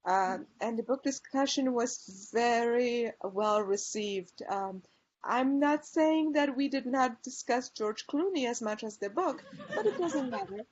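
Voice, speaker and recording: A woman with a mixed Polish and Chicago accent presents to a room of peers, recommending books eagerly with many ums and restarts. Her voice sits a little high. The recording sounds dull and lo-fi. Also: lively intonation, unhurried. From Poland. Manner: enthusiastic book recommendations with frequent fillers. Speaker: librarian presenter. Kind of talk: conference presentation.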